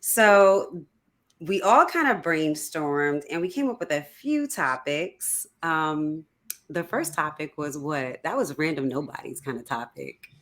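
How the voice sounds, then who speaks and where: A woman co-hosting a podcast, steering a casual chat among friends. Her pitch swings widely as she talks, giving her a lively, animated sound. She laughs easily. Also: unhurried. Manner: lively, laughs often, leads the talk. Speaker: podcast co-host.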